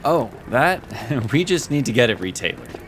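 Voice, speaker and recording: An actor playing a loyal, quick-witted inquisitor in a fantasy audio drama, composed and deferential, with clipped delivery. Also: male voice, medium pitch, big pitch swings, soft background music, natural voice.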